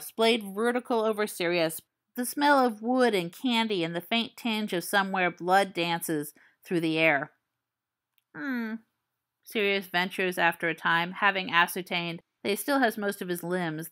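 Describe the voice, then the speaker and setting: A woman reading fan fiction aloud as an audiobook, in a calm, measured, soft manner. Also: fairly low pitch. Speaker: podfic reader.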